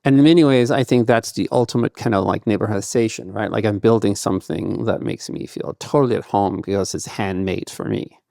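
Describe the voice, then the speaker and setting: A man whose first language is Danish talks through his ideas as a podcast guest, filling his sentences with loose "kind of" and "like". His voice sits fairly low and has a gravelly, creaky texture. The recording is close and dry, with no room sound. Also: steady tone, brisk, hardly any pauses, warm tone, dry recording. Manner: loose and thoughtful, with many fillers like "kind of" and "like". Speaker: guest entrepreneur. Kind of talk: conversational podcast interview.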